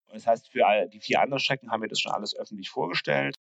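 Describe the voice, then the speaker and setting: A man explaining street and cycle route planning in a relaxed conversational way, pausing often with fillers and restarting sentences. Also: medium pitch, gravelly, no room sound, lo-fi sound. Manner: hesitant, many fillers and restarts. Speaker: cycling infrastructure planner.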